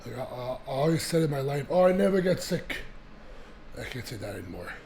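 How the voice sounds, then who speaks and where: A young man with a voice gone raspy from a cold, chatting casually to his viewers in a rambling way with many restarts and fillers. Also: mid-range voice, big pitch swings, brisk, darkish tone, slight background noise. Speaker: vlogger. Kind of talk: casual chat to camera.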